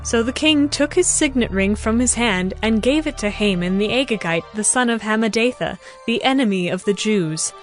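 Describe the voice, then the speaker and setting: A woman narrator reading scripted Bible narration in a measured, solemn storytelling manner. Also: fairly high pitch, expressive, soft background music.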